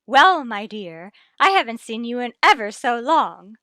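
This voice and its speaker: A woman's voice: fairly high pitch, very animated, a little slow, pushed and bright, no room sound, exaggerated voice. An actress playing a gracious, sociable middle-aged wife and mother in a 1950s radio sitcom, speaking in a genteel manner. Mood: gracious, chatty.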